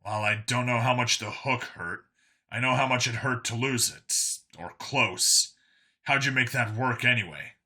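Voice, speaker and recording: A man's voice: medium pitch, moderate pace, sharp tone, husky. A man voicing a world-weary clone cop in an audio story, burdened by guilt and explaining things matter-of-factly.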